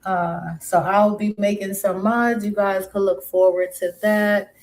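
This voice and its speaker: A woman's voice: mid-range voice, steady tone, measured pace, a little mellow. Casting: woman live streamer, mixed New York and West Indian accent, chatty and friendly, mid-range voice. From Brooklyn, New York. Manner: chatty, greets viewers by pet names. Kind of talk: casual live stream chat.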